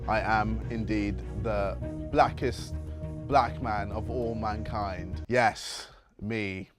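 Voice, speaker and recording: A man on a comedy game show, mixing deadpan jokes with loud laughter. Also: fairly low pitch, slow pace. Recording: background music under the video.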